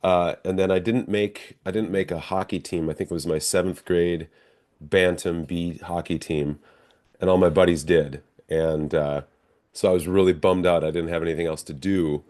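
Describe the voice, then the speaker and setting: An American man giving conversational, reflective interview answers as a guest, explaining things in a measured, step-by-step way. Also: low-pitched, fairly even intonation, a little mellow. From northern Minnesota, United States. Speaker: interview guest, professional stage actor.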